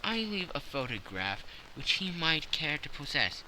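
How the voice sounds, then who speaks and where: A man's voice: on the high side, big pitch swings, measured pace, sharp tone, slight background noise, exaggerated voice, poor audio. A man voicing a poised, teasing young actress. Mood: firm. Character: clever young actress writing a letter.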